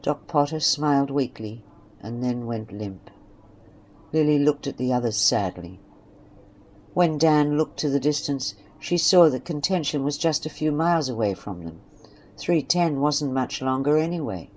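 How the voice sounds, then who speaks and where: A woman's voice: rumbling low, lively intonation, measured pace, a little mellow, low-quality recording. A woman narrating a scripted western story. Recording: faint background noise and ambience.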